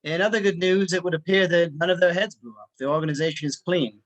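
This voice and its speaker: A man reading the part of a formal male spy chief in an amateur online table read, in a measured, formal manner. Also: high voice, no echo, close to an everyday voice, low-quality recording.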